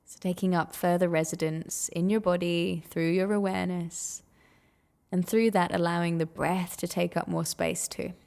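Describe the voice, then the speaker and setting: A woman guides a meditation in a fairly low voice with a noticeable creak at the edges. She speaks gently and soothingly. She takes her time, leaving long pauses between phrases. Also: little pitch movement.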